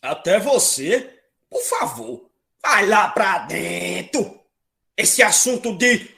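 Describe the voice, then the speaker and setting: A man's voice: high-pitched, lively intonation, slow, creaky, bright, forceful tone, full character voice. An actor playing a stern, fatalistic father king in a comedic mock-epic audio drama, declaiming with authority and slipping into colloquial speech. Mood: exasperated.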